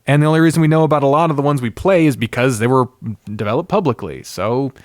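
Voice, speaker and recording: A man's voice: medium pitch, quick pace, no echo, studio quality. A man hosting a solo podcast about games, casually thinking aloud in a rambling, sweary commentary. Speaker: podcast host.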